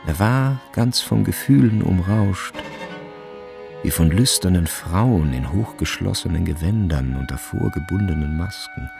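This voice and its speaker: Low, lightly gravelly male narrator. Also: lively intonation, a little slow, over music. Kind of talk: literary radio drama narration.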